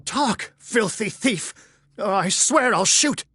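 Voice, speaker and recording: A woman's voice: a little high, animated, slow, fairly bright tone, slightly rough, no room sound, heavily acted. An actress playing an armed, distrustful woman confronting an intruder in a dramatic game scene. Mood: threatening.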